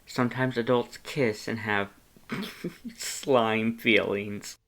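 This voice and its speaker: A flirty storybook skeleton, acted by a man, talks in a playful, suggestive way. He is teasing and casual, with a medium male pitch. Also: takes it slowly, fairly bright tone. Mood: flirty, amused. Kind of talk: storybook character dialogue.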